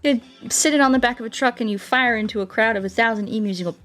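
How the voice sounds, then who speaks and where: A woman's voice: a little high, quick pace, a bit bright. Woman game streamer, chatty and playful. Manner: giggly, playful, mock-earnest. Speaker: video game livestreamer. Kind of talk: casual chat with viewers while playing.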